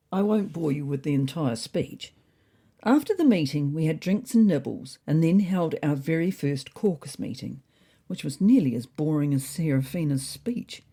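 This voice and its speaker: A woman with a deep, mellow voice narrates scripted comedy fiction. Her delivery is dry and deadpan, told in the first person as a diary. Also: slightly rough.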